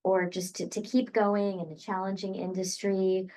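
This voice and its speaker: Woman podcast host, quick polite questions. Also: medium pitch, level tone, mellow. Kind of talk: interview questions.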